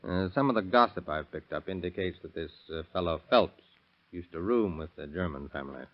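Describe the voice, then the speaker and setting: An actor playing a diligent, plain-spoken sheriff's deputy, folksy and matter-of-fact, in a 1930s radio crime drama. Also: male voice, deep voice, lively intonation, brisk. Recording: dull, muffled vintage radio recording.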